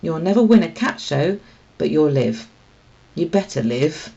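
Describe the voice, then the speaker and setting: Woman putting on a gruff, prickly female street cat. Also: low-pitched, expressive, a little slow, warm tone, highs cut off, heavily acted. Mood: brusque. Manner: curt, snappy. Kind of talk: children's storybook read aloud.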